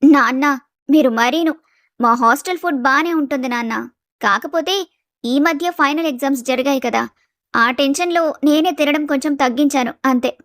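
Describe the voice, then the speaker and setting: A woman playing an affectionate young college-age daughter in a dramatised story, sweet and gently protesting. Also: high-pitched, normal speed, dry recording, clean studio sound. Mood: gently teasing. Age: young adult. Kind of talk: dramatised moral story acting.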